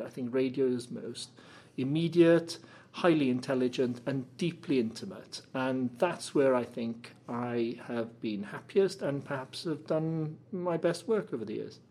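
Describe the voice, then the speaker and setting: Man, middle-aged, Welsh accent, native Welsh speaker, articulate, wry, measured interview answers. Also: mid-range voice, a little mellow. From Cardiff, Wales. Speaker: guest, journalist and communicator.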